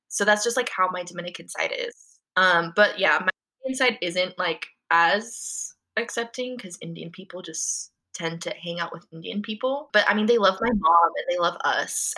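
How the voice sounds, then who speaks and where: A young woman chatting casually as an interview guest, her fairly low voice carrying a bright, forceful edge. She talks in a loose, rambling way, leaning on "like" and "um" as she goes. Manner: chatty, frequent "like" and "um" fillers. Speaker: podcast guest.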